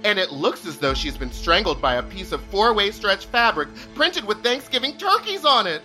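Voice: mid-range voice, big pitch swings, normal speed, sharp tone. An actor playing an earnest, melodramatic drag queen contestant in a campy comedic radio play, speaking with breathless theatrical sincerity. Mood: melodramatic shock. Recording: music behind the voice.

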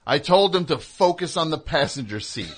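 A man's voice: on the high side, expressive, moderate pace. A man hosting a casual comedy call-in show, chatting and reading aloud. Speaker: radio show host.

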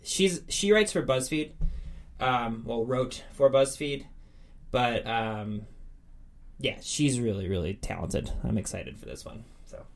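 A man's voice: medium pitch, expressive, slow, vocal fry. A man co-hosting a podcast in a casual, enthusiastic chat. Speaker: podcast co-host.

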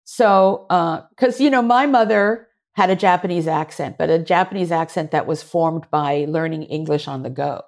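A woman actor being interviewed, telling lively stories, often laughing and briefly acting out voices. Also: fairly low pitch, moderate pace, few pauses. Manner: animated, laughing, acts out voices and gestures. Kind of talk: conversational interview storytelling.

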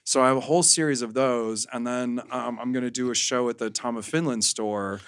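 Man, podcast guest, candid chat, reflective, hedging, medium pitch, dry close sound. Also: steady tone, a little fast, fairly bright tone, clear, resonant tone, dry recording.

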